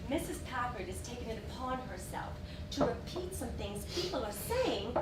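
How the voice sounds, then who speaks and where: A woman acting an agitated, earnest society lady in a stage play, with halting, emphatic delivery. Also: fairly high pitch, big pitch swings. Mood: indignant. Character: Diana, agitated earnest society woman, indignant when accused. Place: theatre stage. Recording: roomy, distant, noisy theatre stage recording.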